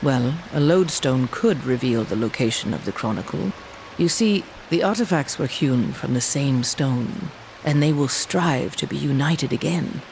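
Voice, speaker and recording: A man playing an aloof, bargaining quest giver in a video game, measured and formal with a gravelly edge. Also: mid-range voice, animated, a little mellow, slight background noise, low-quality recording. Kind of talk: video game cutscene acting. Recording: music behind the voice.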